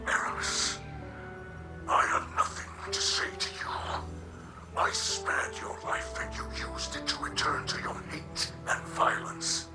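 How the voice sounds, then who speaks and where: A stern old alien captain, acted by a man, dignified and weary. He speaks very slowly and formally in a rasping half-whisper, with game music clearly behind him. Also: lightly acted. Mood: stern, reproachful. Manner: slow, measured, formal. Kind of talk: dramatic video game character acting.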